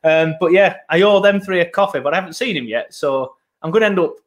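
Male host, highish voice, rambling chatty style, laptop recording. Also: quick pace, over a noisy crowd. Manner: chatty, rambling with many ums. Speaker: podcast host. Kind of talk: casual football podcast chat. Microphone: laptop setup, video podcast.

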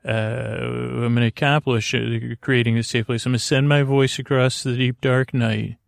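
A man with a creaky voice hosting a bedtime podcast, gently meandering and halting as he keeps interrupting himself. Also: mid-range voice, steady tone, no room sound. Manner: gentle, lulling. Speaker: podcast host. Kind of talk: rambling bedtime monologue.

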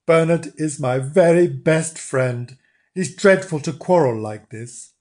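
Man voicing a sheepish, pleading puppy dog, lively pitch. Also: slow. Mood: sad. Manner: earnest, pleading. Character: sheepish, remorseful young male puppy dog.

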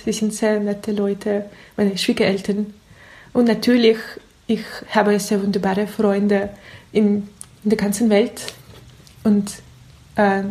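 A woman's voice: mid-range voice, dark tone, poor audio. Hesitant woman with a foreign accent. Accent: foreign accent in German. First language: not German. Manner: hesitant, careful non-native phrasing with searching pauses. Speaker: interview guest. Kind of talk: reflective interview answers.

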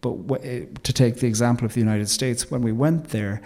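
An Irish man speaking as an interview guest, measured and thoughtful, with emphatic turns. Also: medium pitch, steady tone, warm tone, in a large hall. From Ireland. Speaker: guest, theologian and poet. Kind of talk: reflective interview conversation.

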